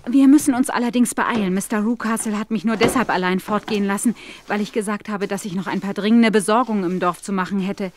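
An actress playing a polite, anxious young governess in a German audio drama, speaking in an earnest, worried, formal manner. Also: female voice, medium pitch, fast, slight background noise, close to an everyday voice. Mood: relieved, urgent. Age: young adult.

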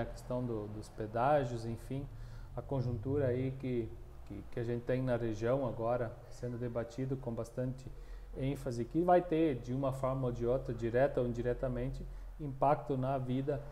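A man's voice: medium pitch, fairly even intonation, dark tone, a little hiss or hum. A man in his thirties with a southern Brazilian accent, a union coordinator answering interview questions with many restarts, recorded on a lapel mic in a small room. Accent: southern Brazilian Portuguese. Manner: halting, many restarts and fillers. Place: small room, plain wall, indoors.

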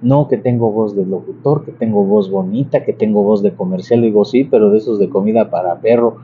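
A man, giving an informal video lesson in a chatty, halting way that often wanders into asides. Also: on the low side. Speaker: church organist and psalm-singing teacher. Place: large bright interior beside an organ. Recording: muffled sound with clear background noise.

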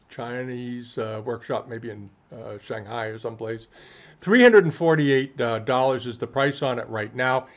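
An older man talks through a list of auction items at his desk, full of "uh"s and repeated words as he finds his way. His voice sits at an ordinary pitch, and the webcam audio comes across a little dull and muffled. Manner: rambling, frequent fillers and restarts, enthusiastic about pieces. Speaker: antiques auction commentator. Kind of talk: casual auction news commentary. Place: home room, indoors.

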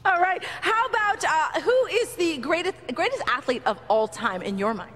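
Bubbly high-voiced woman reporter. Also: normal speed. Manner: bubbly, giggly, excited. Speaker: TV morning show reporter. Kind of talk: live field interview. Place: outdoor soccer stadium field. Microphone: handheld mic.

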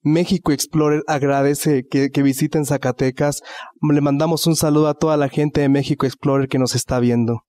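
A man's voice: a little high, steady tone, fairly quick, dark tone. A young man with a Mexican accent presenting an on-camera interview into a handheld microphone. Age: young adult. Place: indoor office lounge at a radio station. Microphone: handheld reporter microphone.

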